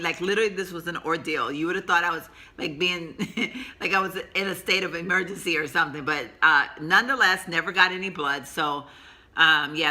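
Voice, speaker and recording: Woman in her 50s, very bright tone, rambling chatty vlogger with a cold coming on. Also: fairly low pitch. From Texas, USA. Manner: rambling, chatty, laughs often. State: coming down with a cold, sore throat. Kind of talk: casual chatty vlog. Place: sitting at home.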